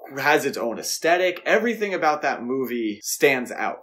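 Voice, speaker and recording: A man's voice: mid-range voice, sing-song, normal speed, a bit bright. A man co-hosting a podcast, talking casually and giving his opinions on films in a conversational way. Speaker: podcast co-host. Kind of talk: casual film discussion.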